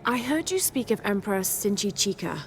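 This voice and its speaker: An actress playing a determined, inquisitive young female archaeologist in a measured, scholarly way for a video game cutscene. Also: mid-range voice, expressive, natural voice. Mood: curious, respectful. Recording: music under the voice.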